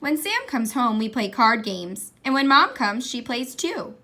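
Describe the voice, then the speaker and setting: A woman reading a children's picture book aloud in an expressive storytelling style. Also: a little high, measured pace. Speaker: read-aloud reader.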